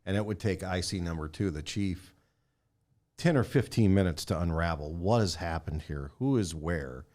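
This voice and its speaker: A man's voice: low voice, moderate pace, a little mellow. A man hosting a podcast in a conversational way, sounding middle-aged or older. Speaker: podcast host and former firefighter.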